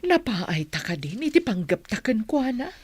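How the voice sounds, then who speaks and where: A woman's voice: a little high, big pitch swings, normal speed, darkish tone, slightly rough, dry recording. A woman playing a scheming, meddling middle-aged village mother in an Ilocano radio comedy drama, with an Ilocano accent. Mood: resigned, apologetic.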